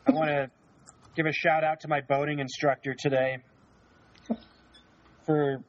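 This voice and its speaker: Man, casual podcast chat, dry and reluctant, slightly high pitch, dull lo-fi recording. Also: little pitch movement, unhurried. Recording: dull lo-fi sound.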